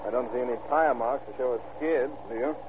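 An actor playing a level-headed, wry sheriff's deputy who talks slangily in an old radio drama. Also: male voice, medium pitch, fast talker, natural voice. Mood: matter-of-fact. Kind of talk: 1930s-40s radio drama acting. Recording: tinny, noisy old radio recording.

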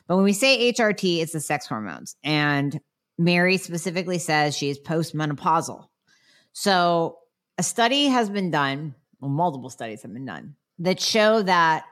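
A middle-aged woman hosting a health podcast, speaking bluntly and emphatically as she answers listener questions, a little nasal from a cold. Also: fairly low pitch.

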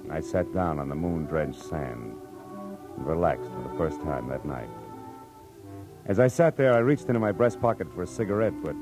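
A man's voice: low voice, low-quality recording. An actor playing a wry, hard-boiled American private eye who talks in easygoing tough-guy slang in a 1940s radio drama. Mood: reflective, wry. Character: hard-boiled, easygoing male private eye, narrating his own case. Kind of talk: 1940s radio detective drama acting and narration.

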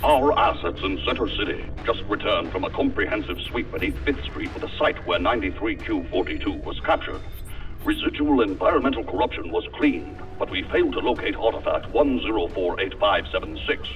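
Field operative, low and animated, formal military briefing to a superior over a muffled radio link, sci-fi drama. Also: male voice, a little fast. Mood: dutiful, businesslike. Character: dutiful male operative officer reporting to his superior. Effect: radio transmission filter. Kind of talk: science fiction audio drama acting. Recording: dull radio link, distant, faint music.

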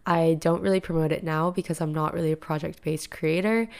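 Young woman, podcast host, lowish pitch, freshly woken raspy voice, chatty and rambling, living room. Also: fairly even intonation, brisk, darkish tone. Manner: chatty, rambling, filler-heavy. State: just woken up, voice a little raspy and deeper. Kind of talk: casual solo podcast monologue.

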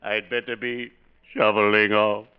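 An actor playing a lugubrious, mock-genteel undertaker with a slow, pompous, mournful delivery in a 1940s radio comedy. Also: male voice, fairly low pitch, flat delivery, heavy background noise, lightly acted. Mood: droll, mournful. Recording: muffled old radio broadcast.

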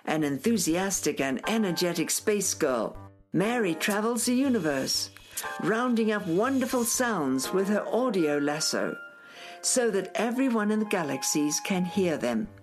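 A woman playing a humble storyteller, narrating a children's space radio play in a formal, measured, theatrical way. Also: mid-range voice, very animated, faint music behind. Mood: presenting.

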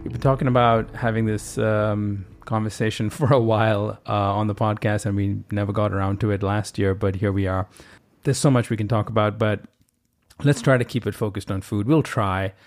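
A man hosting a food podcast, interviewing a guest in a measured, friendly way. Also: fairly low pitch, steady tone. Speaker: podcast host. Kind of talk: scripted intro, then interview chat.

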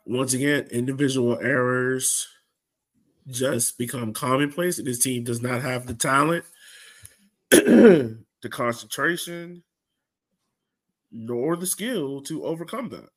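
A man's voice: medium pitch, takes it slowly, long pauses. A man hosting a soccer fan podcast, giving a blunt, frustrated and casual recap. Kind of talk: casual sports recap discussion.

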